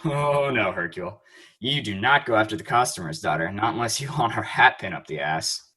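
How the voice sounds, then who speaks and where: A man's voice: lowish voice, expressive, fairly quick, sharp tone, slightly rough, full character voice. A man playing a cocky, flirtatious, mocking Shakespearean actor in an audio play, with theatrical, pompous delivery. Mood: theatrical teasing. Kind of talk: amateur audio play acting.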